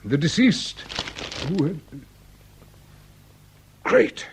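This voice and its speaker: An actor playing a courtly, fretful and florid Victorian lord with a husky voice in a British radio drama. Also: male voice, a little high, big pitch swings, extremely slow, slight background noise, exaggerated voice. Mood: ominous, dramatic. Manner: florid, mannered, pompous.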